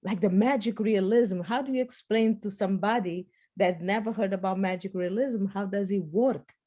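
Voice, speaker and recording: Portuguese-born woman with a mellow voice. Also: mid-range voice, normal speed. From Portugal and Spain. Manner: animated, laughs while telling a story. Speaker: political science professor. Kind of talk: workshop discussion over video call. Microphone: video call.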